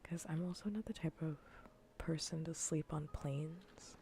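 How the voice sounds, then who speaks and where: A woman hosting a livestream, chatting casually in a soft, breathy, whispery ASMR voice and rambling with dry humour. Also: low voice, measured pace, slight background noise. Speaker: livestream host. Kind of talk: casual ASMR stream chat.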